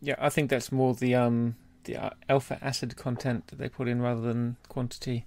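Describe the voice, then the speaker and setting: A man with a medium-pitched voice co-hosts a podcast in a casual, conversational way. His delivery is measured and analytical, and the sound is dry with hardly any room in it. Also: flat delivery, vocal fry, darkish tone, no room sound. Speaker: podcast co-host. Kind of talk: casual chat, beer review.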